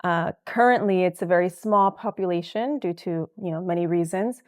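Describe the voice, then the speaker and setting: A woman from El Salvador, a guest herbalist, answering interview questions in an earnest, thoughtful way with many soft fillers. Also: lowish voice, normal speed.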